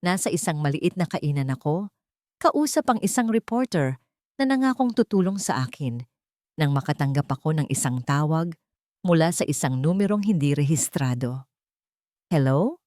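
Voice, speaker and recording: A woman narrating a scripted romantic drama in the first person, with solemn, measured storytelling. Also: sits low, very animated, darkish tone, no room sound, clean studio sound.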